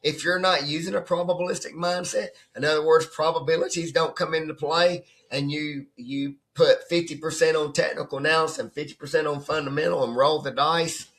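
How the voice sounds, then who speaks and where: Hoarse man giving a rambling coaching talk. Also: a little high, steady tone, moderate pace, a bit bright. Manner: rambling and conversational, often asks "right?". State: hoarse, voice nearly lost. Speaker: forex trading coach. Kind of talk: casual off-the-cuff coaching talk. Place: at his computer desk.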